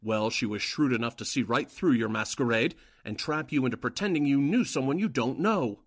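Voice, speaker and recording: A man's voice: mid-range voice, animated, a little fast. A man acting out a sneering, suspicious henchman spy in 1940s radio style. His mid-range voice swings widely, pointed and mocking. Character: sly, suspicious Nazi henchman, sneering and accusing.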